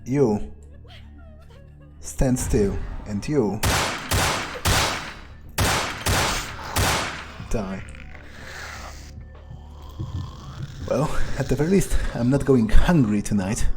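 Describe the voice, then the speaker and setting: A man playing a cold, predatory vampire who thinks aloud in a halting, muttering way during a game. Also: mid-range voice, expressive, loud music behind the voice. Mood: cold menace. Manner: slow, halting, muttering to himself. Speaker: player role-playing a character. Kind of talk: in-character game playthrough monologue.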